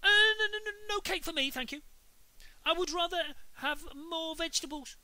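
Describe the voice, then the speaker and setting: A man voicing a cheeky schoolboy who pretends to be perfectly well-behaved, with high, overly polite protests. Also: normal speed, pushed and bright, no room sound, full character voice. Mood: sugary innocent. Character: cheeky schoolboy putting on good manners.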